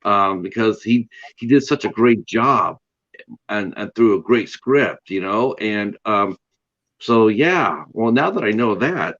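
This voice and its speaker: A man's voice: on the low side, expressive, slow pace, a bit bright, lo-fi sound. A man hosting an interview, asking long, rambling questions with eager enthusiasm. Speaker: interview host. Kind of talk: casual interview chat.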